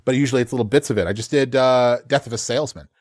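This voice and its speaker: A man in casual interview talk, a working voice actor telling stories about his job. He speaks at a middle pitch, animated, and drops into quick put-on voices as he goes. The sound is dry and close to the mic. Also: dry recording. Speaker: voice actor guest.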